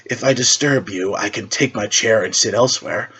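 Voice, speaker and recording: A man's voice: on the low side, normal speed, bright, forceful tone, slightly rough, full character voice. A man voicing a mysterious masked hero, polite, hesitant and dry, in an amateur superhero story. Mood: courteous. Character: mysterious masked shadow hero, courteous, awkward, male. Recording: dull lo-fi recording.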